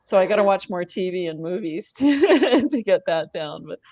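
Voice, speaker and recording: A woman's voice: lowish voice, animated, moderate pace, no echo. Laughing woman, dry close mic. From Canada. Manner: warm, thoughtful, laughs often, frequent restarts. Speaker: podcast guest. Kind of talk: casual interview chat.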